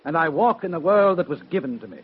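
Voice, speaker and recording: A man's voice: fairly high pitch, big pitch swings, fast, slight background noise. An actor playing Adam, a proud and stubborn young first man, in a 1960s radio drama. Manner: proud, declaiming. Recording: dull old radio recording with music.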